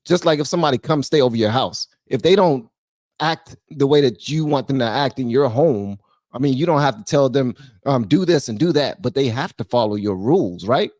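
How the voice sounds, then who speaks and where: A man's voice: medium pitch, expressive, brisk, a little mellow, no room sound, clean studio sound. Emphatic man hosting reaction commentary. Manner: emphatic, preachy, rhetorical questions. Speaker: commentary channel host.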